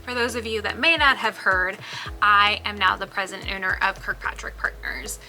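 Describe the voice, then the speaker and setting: A woman's voice: medium pitch, fairly even intonation, moderate pace, pushed and bright, soft background music, poor audio. A woman in her thirties whose first language is Spanish, talking about her own life in a casual vlog, chatty, with lots of "um" and "like". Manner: chatty, with frequent ums and likes. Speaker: business owner. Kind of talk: casual personal vlog talk.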